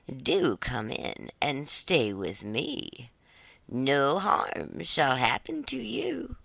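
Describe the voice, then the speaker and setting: Narrator putting on a soft, coaxing ancient witch. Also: medium pitch, very animated, drawn-out words, gravelly, no room sound, full character voice. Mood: coaxing, feigned kindness. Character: ancient wicked witch feigning sweet kindness.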